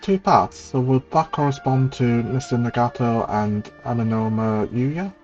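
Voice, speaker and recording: A man's voice: medium pitch, measured pace, a little mellow. A man from Canada streaming a game, chatting casually and reading dialogue aloud. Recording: dull mic with game music behind. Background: game music.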